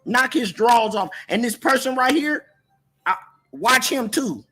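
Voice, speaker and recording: High, bright, husky American man. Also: expressive, a little slow. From Oakland, California, US. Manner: slangy, repetitive, confrontational. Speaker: livestream host moderating chat. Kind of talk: casual livestream chat.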